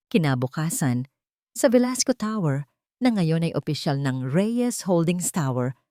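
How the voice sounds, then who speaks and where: Woman narrator with lively, dramatic Filipino delivery. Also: sits low, no room sound, studio quality. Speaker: story narrator. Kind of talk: scripted dramatic story narration.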